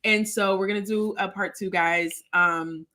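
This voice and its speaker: A woman's voice: medium pitch, unhurried. A middle-aged woman hosting a casual livestream chat, giving motherly advice to her goddaughter and talking to viewers. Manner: animated, motherly advice, addresses viewers as y'all. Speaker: host and godmother.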